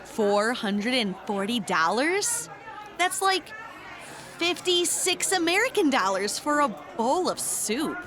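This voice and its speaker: A woman playing an excitable, curious young sidekick in an audio drama, with an enthusiastic, casual way of talking. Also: high-pitched, slow pace, full character voice. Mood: lively. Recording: busy restaurant crowd behind.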